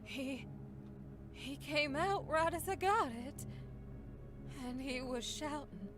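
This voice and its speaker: A woman's voice: high-pitched, expressive, slow. A woman playing an anxious, hesitant woman in a horror visual novel. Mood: shaken, distressed. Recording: music under the voice. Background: game music.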